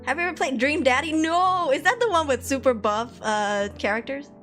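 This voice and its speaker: A woman's voice: sits high, normal speed, fairly bright tone, soft background music. Giggly young woman streamer. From Malaysia. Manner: chatty, laughs often, frequent fillers. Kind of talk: casual chat reading viewer comments.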